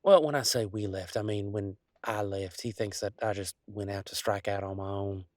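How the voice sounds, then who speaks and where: A man playing an easygoing, affectionate country werewolf boyfriend, talking in a folksy, halting way in an intimate roleplay audio. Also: fairly low pitch, fairly even intonation, dry recording, studio quality, natural voice. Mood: hesitant, uneasy. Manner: folksy, drawling slang, halting asides.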